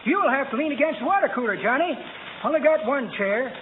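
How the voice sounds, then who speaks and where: A man's voice: extremely high pitch, lively intonation, fast. An actor playing a garrulous, folksy old man, an eccentric small-time lawyer in a 1940s radio comedy. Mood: chipper. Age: older. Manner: folksy, garrulous, mock-learned patter. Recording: muffled, noisy vintage radio broadcast.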